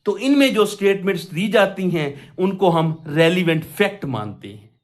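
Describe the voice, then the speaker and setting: A man's voice: high-pitched, lively intonation, moderate pace. A man with a Pakistani accent giving an explanatory law lecture in a didactic way, posing questions and answering them himself. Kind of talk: explanatory exam lecture, mixing Urdu with English legal text.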